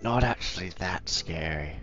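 Croaky low-voiced man thinking aloud. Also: expressive, moderate pace, fairly bright tone, lo-fi sound, faint music behind. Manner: rambling, thinking aloud with many ums. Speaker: let's play host. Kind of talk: casual game commentary.

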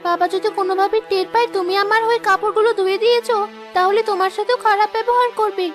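A woman's voice: very high-pitched, fairly even intonation, fast, faint music behind. A woman voicing a dutiful, long-suffering young daughter in a cartoon, earnest and pleading. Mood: reassuring. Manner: pleading, earnest, self-sacrificing. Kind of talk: cartoon dub acting.

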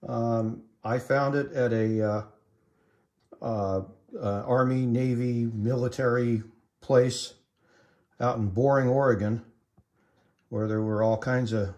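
Middle aged guy showing off old gear at home, plain factual talk, kinda halting, informal. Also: mid-range voice, darkish tone. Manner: halting, matter-of-fact. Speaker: collector demonstrating vintage equipment. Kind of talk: informal demonstration narration. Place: indoors in a room at home.

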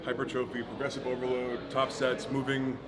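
Young male vlogger, mid-pitched, brisk casual delivery, camera mic in a busy gym. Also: completely flat delivery, a crowd in the background. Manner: upbeat, quick, agreeable. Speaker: vlogger, amateur bodybuilder. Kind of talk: casual vlog chat. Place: large indoor gym. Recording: gym ambience noise behind.

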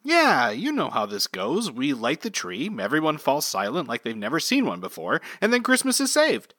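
Man acting a bright, smug small-town local. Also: medium pitch, huge pitch swings, moderate pace, no echo, heavily acted. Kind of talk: comic radio play acting.

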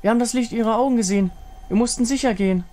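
Hopeful fantasy moon worshipper, played by a man, high-voiced, earnest and full of reverence. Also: racing, dark tone. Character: devout, hopeful Lunari follower of a moon goddess.

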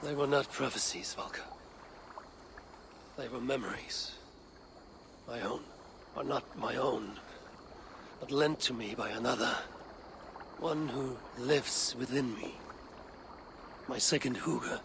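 An actor playing a grave, weary middle-aged Viking leader in a game, speaking in a solemn, measured, gravelly way. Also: male voice, mid-range voice. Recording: game cutscene with noisy waterside ambience.

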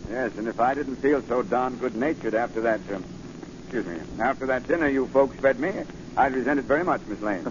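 A man's voice: mid-range voice, fairly quick, close to an everyday voice. Guy as grumbly american police chief, blustery, mid voice, muffled old radio. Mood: grumbling. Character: gruff, good-natured, exasperated police commissioner. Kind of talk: 1940s radio acting. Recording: muffled, noisy 1940s radio recording.

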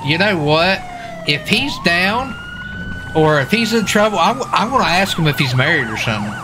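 A man role-playing a police officer in a casual, improvised game, talking in a folksy, excitable way and often repeating himself. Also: sits high, normal speed. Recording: faint background noise, game sirens. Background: game sirens and vehicle sounds.

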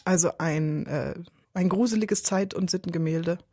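A woman's voice: fairly low pitch, normal speed, mellow, husky, dry recording, clean studio sound. A woman co-hosting a podcast, chatting casually and putting questions to a partner. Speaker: podcast co-host. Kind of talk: casual conversational introduction and questions.

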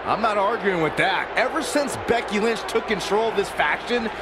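A man's voice: sits high, normal speed, lightly acted. A man playing an earnest, disapproving wrestling commentator in a video game. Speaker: colour commentator. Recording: loud roaring arena crowd noise.

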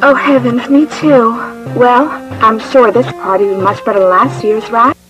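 Woman as a high, genteel sheep lady. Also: fairly even intonation, moderate pace, music in the background, lightly acted, poor audio. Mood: upbeat.

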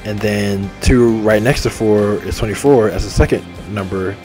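A young man in his twenties rambles cheerfully, repeating himself as he hands out shout-outs. His voice sits on the low side, and soft game menu music plays underneath. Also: moderate pace. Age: 20s. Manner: repetitive, upbeat, enthusiastic shout-outs. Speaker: gaming channel host. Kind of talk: rambling casual monologue over game menu. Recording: faint game music behind. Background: faint game menu music.

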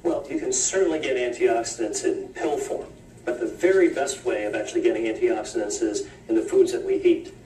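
A man speaking as a guest expert on a television show, calm and explanatory, heard off a TV in a room. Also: mid-range voice, fairly quick, reverberant. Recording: TV audio played in a room, from far off.

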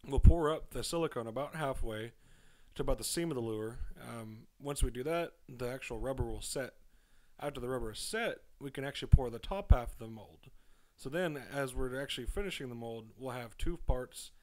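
A man's voice: mid-range voice, brisk, a little mellow, no room sound. A young man who makes fishing lures explains mold making step by step in a voice-over, often saying "actually". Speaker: lure maker. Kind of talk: demonstration voice-over.

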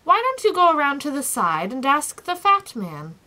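A woman's voice: high voice, huge pitch swings, normal speed, bright, forceful tone, pitched above the performer's own voice, exaggerated voice. A woman voicing a proud, cheerful, fussy ordinary-sized man in a storybook, with an emphatic, self-important manner. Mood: brisk, helpful.

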